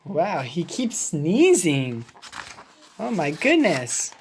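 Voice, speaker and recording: A woman reading a children's picture book aloud as a teacher, with playful, animated asides and reactions. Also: lowish voice, extremely slow, a little hiss or hum.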